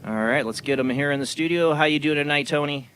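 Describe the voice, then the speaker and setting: A man's voice: fairly high pitch, a little fast, fairly bright tone, smooth, no echo. A man hosting a paranormal radio talk show, asking rambling, hedging questions full of "you know"s. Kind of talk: casual interview hosting.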